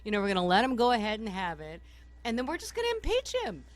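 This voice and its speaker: A woman with a hoarse voice from a summer cold, co-hosting a political talk radio show from home, ranting animatedly and laughing often as she talks. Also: mid-range voice, wildly swooping pitch, fast.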